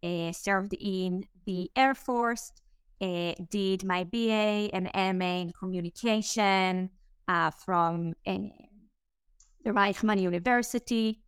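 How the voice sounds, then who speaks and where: Israeli-accented woman with a clear voice. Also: mid-range voice, no room sound. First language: Hebrew. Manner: fluent but halting, many "um" fillers. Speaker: startup co-founder guest. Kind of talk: podcast interview answers.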